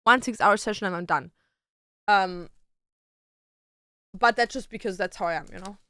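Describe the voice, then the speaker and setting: A woman's voice: mid-range voice, moderate pace, sharp tone, smooth, no echo, studio quality. A young woman, a tattoo artist, chatting casually with her stream viewers and answering their questions in a loose, talkative way. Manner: chatty, loose, peppered with "like" and "dude".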